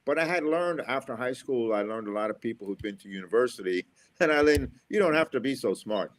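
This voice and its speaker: A man's voice: mid-range voice, expressive, brisk. A middle-aged American man from Florida, an engineer and school speaker, giving upbeat, motivational interview answers and repeating his key phrases. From USA, Florida.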